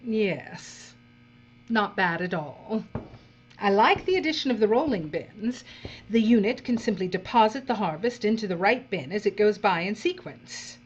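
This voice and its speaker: An actress playing a coolly menacing, condescending female overseer, measured and dry, in a dark audio drama. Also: medium pitch, animated, soft background music, close to an everyday voice. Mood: cool approval. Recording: dull audio with faint mains hum.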